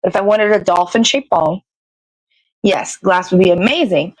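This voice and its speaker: A woman with a middle-pitched voice chats casually as a livestream host. She meanders through her thoughts, stops to hunt for the right word and swears offhandedly. The sound is dry, with very little room echo. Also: moderate pace, slightly rough. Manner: meandering, searching for words, casually profane. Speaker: live show host. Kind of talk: casual rambling livestream chat.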